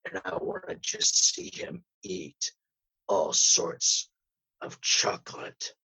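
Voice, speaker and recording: A man voicing a gruff, intimidating male judge with a menacing delivery. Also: high-pitched, wildly swooping pitch, slow, bright, forceful tone, pitched above the performer's own voice, heavily acted. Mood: gruff menace. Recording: slightly muffled recording.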